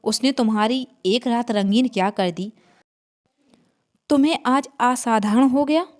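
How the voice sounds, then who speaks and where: A woman's voice: a little high, unhurried, lightly acted. A woman storyteller voicing an arrogant, bloodthirsty vampire queen in a horror story, with a haughty, commanding manner. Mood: arrogant, scornful. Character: arrogant five-hundred-year-old vampire queen, man-hating and bloodthirsty.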